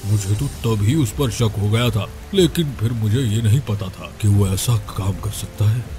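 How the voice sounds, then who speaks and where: A man's voice: fairly low pitch, normal speed, dark tone, slight background noise, natural voice. A man playing a nosy, suspicious villager in a scripted cartoon moral story. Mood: gossiping, suspicious.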